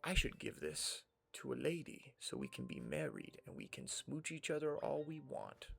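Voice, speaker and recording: A man's voice: fairly high pitch, wildly swooping pitch, normal speed, creaky, a bit bright, husky, soft background music. A young man with a General American accent, rambling casually to himself while playing a video game. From United States. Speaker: streamer. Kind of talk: casual gameplay commentary.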